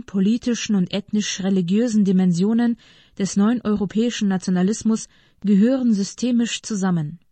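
A woman reading scripted political commentary aloud in a formal, measured manner. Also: medium pitch, warm tone, no echo.

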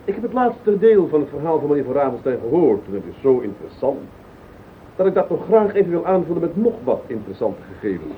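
An actor playing a cheeky, snooping sleuth, mock-polite and self-satisfied, in a Dutch radio play. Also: male voice, very animated, fast talker. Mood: smug, needling. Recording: tinny old radio recording, faint rumble.

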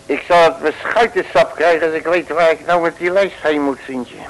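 An actor playing a boastful, bumbling male detective, blustering and shouting in a 1950s radio play. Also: on the high side, steady tone, moderate pace, close to an everyday voice, poor audio. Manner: blustering, with made-up curses and sudden shouts.

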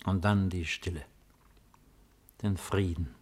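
Slow, low-voiced weary old emperor. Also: male voice, steady tone, slightly rough, full character voice. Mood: weary, melancholy. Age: older. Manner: solemn, measured, declamatory. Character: weary, melancholy old Roman emperor, commanding yet resigned. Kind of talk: 1950s German radio drama acting.

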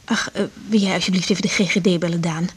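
A woman's voice: on the low side, fairly even intonation, fast talker, husky. An actress playing a caring but easily indignant young woman doctor in a naturalistic radio drama, speaking with hesitant, broken phrases. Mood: harried, irritated. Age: young adult.

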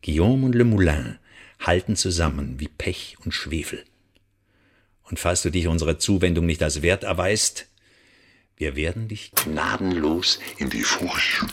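An actor in a radio play voicing a smug French spymaster who blackmails with mock friendliness and taunting, theatrical emphasis. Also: male voice, low-pitched, animated, a little slow, fairly bright tone, full character voice. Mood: threatening.

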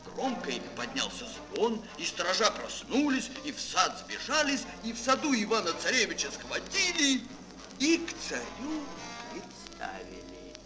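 A man tells a children's fairy tale in a highish, hugely expressive voice. He declaims dramatically at an unhurried pace, with music clearly behind him. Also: poor audio. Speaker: narrator. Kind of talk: theatrical scripted fairy-tale narration for children. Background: prominent music.

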